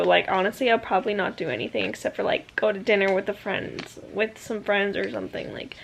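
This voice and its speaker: A woman's voice: on the high side, vocal fry, lo-fi sound. Chatty young woman vlogging at home. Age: 20s. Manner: chatty, rambling with many fillers. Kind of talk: casual vlog chat.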